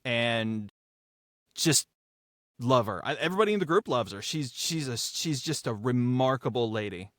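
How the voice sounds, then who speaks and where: A man talks casually and with real enthusiasm, like a creator discussing his own show. His voice is mid-pitched and recorded dry and close, and he repeats phrases for emphasis. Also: expressive, normal speed, fairly bright tone, no echo. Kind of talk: casual behind-the-scenes podcast talk.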